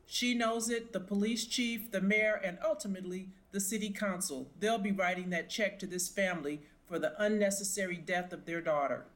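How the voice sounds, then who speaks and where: A woman's voice: mid-range voice, moderate pace, hardly any pauses. A middle-aged woman, a retired police sergeant, giving emphatic, opinionated expert commentary in an interview.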